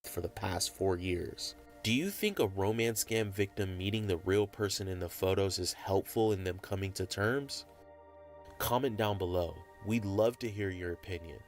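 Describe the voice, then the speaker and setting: A man giving measured, serious scripted narration for a documentary show. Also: deep voice, faint music behind.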